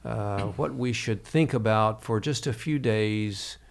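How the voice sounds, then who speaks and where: A man hosting a reflective interview, speaking in a halting, measured way. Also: on the low side, vocal fry. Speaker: podcast host.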